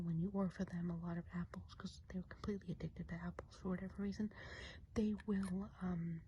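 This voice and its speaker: Softly whispering woman, mellow ASMR role play. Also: mid-range voice. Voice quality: soft breathy whisper. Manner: gentle, unhurried, reassuring. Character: kindly woodland Christmas witch. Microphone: close microphone. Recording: close whisper with audible background hiss.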